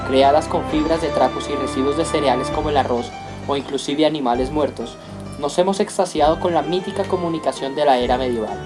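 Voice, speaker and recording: A young man narrates a scripted radio piece. His voice sits a little higher than usual for a man. Prominent music plays along with him as he hosts. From Colombia. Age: young adult. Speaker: radio program host and narrator. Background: loud music.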